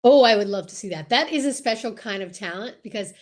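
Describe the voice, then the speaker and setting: An excitable, effusive woman bantering casually as co-host of a live online comedy show. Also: medium pitch, fast talker.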